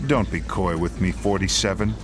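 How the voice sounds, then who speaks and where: An actor playing a smug, pompous rival assassin in a video game, taunting in florid, theatrical phrases. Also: male voice, low voice, fairly even intonation, moderate pace, lightly acted. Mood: mocking, menacing. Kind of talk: dramatic video game voice acting. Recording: music behind the voice.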